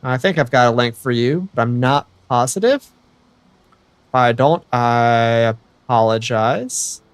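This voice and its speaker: A man's voice: medium pitch, takes it slowly, smooth, no echo. A young American man chatting casually to viewers while he livestreams a building game, rambling and correcting himself as he goes. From United States. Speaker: streamer.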